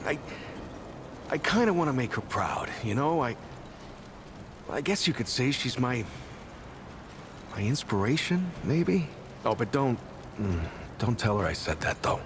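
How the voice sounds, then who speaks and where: Casting: earnest, shy survivor-town mayor for a game; hesitant delivery, mid-pitched, expressive melody. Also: male voice, noisy background. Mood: flustered, bashful. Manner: hesitant, stammering, halting. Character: earnest, bashful male mayor of a survivor settlement. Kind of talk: naturalistic video game dialogue acting.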